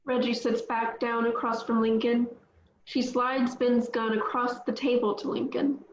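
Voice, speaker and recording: A woman reading stage directions aloud at a table read, steady and plain, with small slips. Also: on the high side, a little slow, slight background noise. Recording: echoey, dull lo-fi audio.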